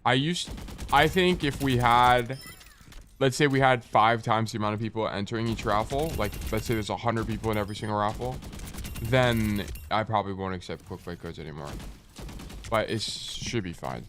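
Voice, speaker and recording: A man's voice: on the low side, normal speed, a bit bright, slight background noise. A young man streaming and coaching a game, chatting casually with a stuffy, nasal morning voice and rambling as he answers chat. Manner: rambling, joking, reads chat aloud and answers.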